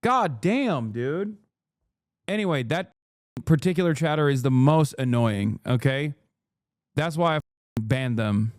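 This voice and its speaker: A man streaming casual reaction commentary, profane, animated and rambling. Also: a little high, a little slow, pauses often, no echo, studio quality.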